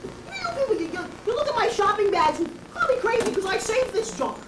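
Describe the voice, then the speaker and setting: A woman playing an eccentric, brash homeless bag lady with a raspy voice, who talks straight at the passersby in a comic stage monologue. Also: extremely high pitch, big pitch swings, fairly quick, reverberant, far from the mic, background noise. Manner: confrontational, addresses passersby directly. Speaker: actress performing a stage monologue.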